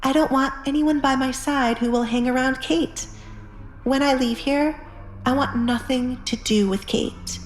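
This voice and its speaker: A woman's voice: high voice, steady tone, a little slow, echoey room, voice pushed up. A woman playing a guarded, commanding young noblewoman in an audiobook drama, speaking in a firm, controlled way. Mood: stern. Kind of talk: audiobook drama character acting. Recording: music behind the voice.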